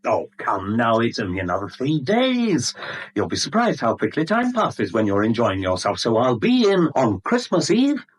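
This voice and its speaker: Gloating blackmailer, British accent, glib courtesy, lively intonation, husky edge, vintage radio play. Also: male voice, medium pitch, normal speed, poor audio. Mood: breezy, taunting. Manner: glib, theatrical, mock-polite. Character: smooth, gloating male blackmailer with mock-genteel courtesy. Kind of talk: 1970s British radio drama acting.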